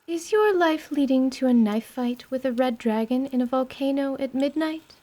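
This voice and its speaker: A woman's voice: sits high, expressive, normal speed, dark tone. A woman playing a prim, melancholy young noblewoman adventurer with genteel, formal phrasing in a fantasy radio drama. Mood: fussing. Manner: formal, genteel, fussy wording. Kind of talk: radio-theatre fantasy role-play acting.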